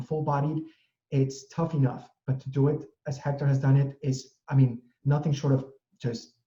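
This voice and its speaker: A man's voice: mid-range voice, mellow. A middle-aged man hosting an interview, talking in long, rambling sentences full of restarts. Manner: rambling, many restarts and fillers. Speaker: interview host, real estate broker. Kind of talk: casual conversational interview. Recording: echoey room, dull audio.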